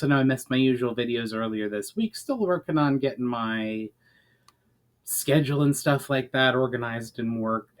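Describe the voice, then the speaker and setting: A man's voice: medium pitch, slight background noise. A man reading his own fantasy story aloud as a dramatic narration.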